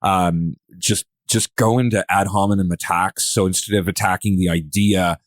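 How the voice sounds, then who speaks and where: Guy on a podcast, lowish voice, earnest, kinda feels out his words, dry close mic. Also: fairly even intonation, moderate pace, dry recording. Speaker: podcast speaker. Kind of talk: casual podcast discussion.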